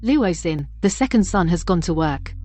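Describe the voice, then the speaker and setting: A woman's voice: fairly low pitch, animated, moderate pace, mellow, faint music behind, close to an everyday voice. An actress playing a scheming, self-pitying older mother-in-law in a family melodrama, wheedling like a martyr. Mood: persuasive, frugal. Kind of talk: dubbed family melodrama acting.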